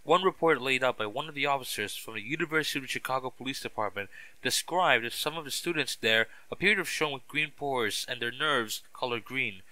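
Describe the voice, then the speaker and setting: A man's voice: medium pitch, fairly quick, bright, forceful tone, no echo, rough recording. A man playing a local TV news reporter, delivering a scripted breaking news report. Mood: serious, urgent.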